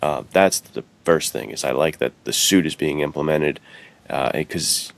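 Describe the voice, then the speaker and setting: A man's voice: rumbling low, normal speed, gravelly. A man co-hosting a podcast in casual, slangy chat, often saying "dude" and "like". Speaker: podcast co-host.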